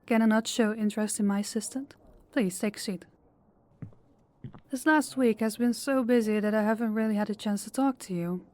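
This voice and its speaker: A woman's voice: on the high side, darkish tone, natural voice. Woman acting a commanding female crime boss. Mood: smooth, friendly. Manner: authoritative, deliberate, clipped orders. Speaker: voice actor. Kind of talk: audio roleplay drama acting.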